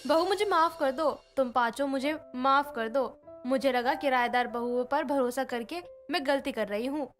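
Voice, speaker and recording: A woman voicing a wary older mother-in-law and landlady in a storybook tale, over soft background music. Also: sits high, normal speed, natural voice. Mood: remorseful. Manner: anxious, pleading.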